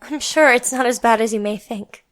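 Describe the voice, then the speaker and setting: A woman acts as a young female opera singer in an audio drama. Her voice is on the high side, and she speaks gently and tentatively, timid yet sympathetic. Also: moderate pace, natural voice.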